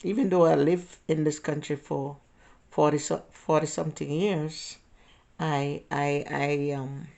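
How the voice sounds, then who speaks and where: Older Jamaican woman with a gravelly voice. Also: deep voice, flat delivery, measured pace, lo-fi sound. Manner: rambling, with self-corrections and soft laughs. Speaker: interviewee. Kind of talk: casual personal storytelling.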